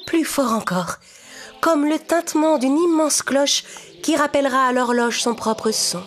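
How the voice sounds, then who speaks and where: A woman's voice: high-pitched, a little slow, soft background music, pitched above the performer's own voice. A woman voicing a wise, caring magical helper who advises solemnly in a children's cartoon story. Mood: grave, urgent.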